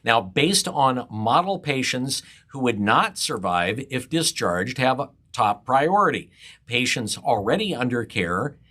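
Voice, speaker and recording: A man's voice: mid-range voice, very animated, a little slow, pushed and bright. A man who hosts a morning radio show, reading a scripted news commentary in a wry, skeptical way.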